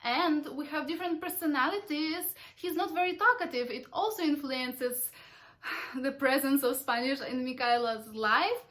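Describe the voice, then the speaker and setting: Chatty high-voiced woman. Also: moderate pace, a bit bright. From Ukraine. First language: Russian. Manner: chatty and explanatory, self-described talkative. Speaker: parenting vlogger. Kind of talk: casual talk to camera.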